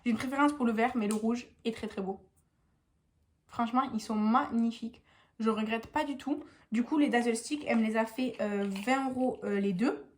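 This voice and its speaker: A woman's voice: fairly high pitch, moderate pace. A young woman with a stuffy nose from a cold, chatting casually in a meandering beauty vlog at home, full of hesitations. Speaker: beauty vlogger.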